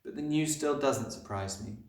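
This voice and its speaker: A man voicing a calm, kindly woman teacher from a storybook in a quiet, measured way. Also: medium pitch, natural voice. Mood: calm, frank. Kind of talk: storybook character voice. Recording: roomy, distant microphone.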